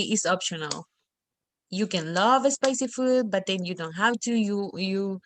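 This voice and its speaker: A young woman from El Salvador with a Salvadoran Spanish accent, a native Spanish speaker, leading a class discussion on a video call in a friendly, unhurried way with small laughs. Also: mid-range voice. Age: young adult. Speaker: student facilitating a class discussion.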